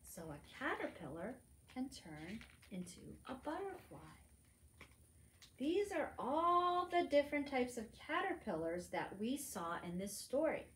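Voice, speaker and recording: A middle-aged woman reads a picture book aloud to preschoolers in an expressive, teacherly manner, adding simple asides. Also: high voice, a little slow, a little hiss or hum. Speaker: librarian storytime reader. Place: library room among bookshelves, indoors. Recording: roomy indoor echo.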